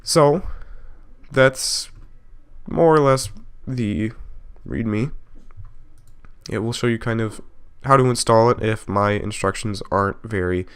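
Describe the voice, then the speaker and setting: A man narrating a software tutorial casually, explaining step by step in an informal way and correcting himself as he goes. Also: on the low side, slow, clear, resonant tone. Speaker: video tutorial host.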